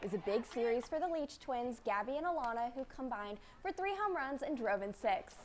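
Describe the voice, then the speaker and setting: A young woman reading sports news as a student anchor, with a crisp, upbeat delivery. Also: on the high side, expressive, brisk, noisy background. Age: young adult. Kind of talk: read news script.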